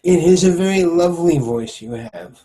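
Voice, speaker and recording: A man voicing a huge, gentle male troll knight who speaks with formal, soft-spoken courtesy. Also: fairly high pitch, lively intonation, moderate pace, close to an everyday voice. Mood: courtly.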